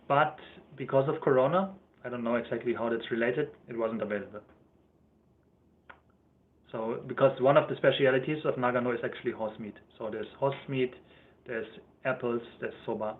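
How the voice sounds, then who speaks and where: A young man with a German accent chatting casually on a livestream, rambling and correcting himself as he answers viewers' questions. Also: medium pitch. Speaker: YouTuber. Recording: thin phone-like livestream audio.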